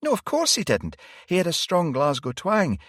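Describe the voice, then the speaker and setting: A woman's voice: lowish voice, huge pitch swings, normal speed, slightly rough, no echo, studio quality, pitched above the performer's own voice, exaggerated voice. A man voicing a wary young female detective with a blunt, exasperated manner. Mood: alarmed.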